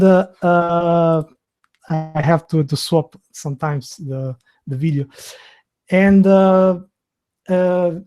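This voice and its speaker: A man in his thirties from Albania, a native Albanian speaker with an Albanian accent, hosting a live online video interview in a halting, hesitant way with many fillers and restarts. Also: high voice, warm tone. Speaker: host.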